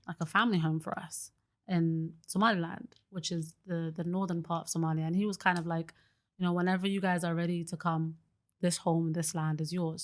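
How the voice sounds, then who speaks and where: A young woman talking as a podcast guest in a casual, earnest way, full of fillers and easy laughter. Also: lowish voice. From Britain. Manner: chatty and earnest, many fillers like "like" and "you know"; laughs easily. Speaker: guest, early childhood educator.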